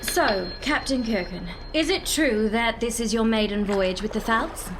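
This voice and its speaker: A woman playing a brisk radio reporter. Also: on the high side, background noise, close to an everyday voice. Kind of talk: community radio play acting.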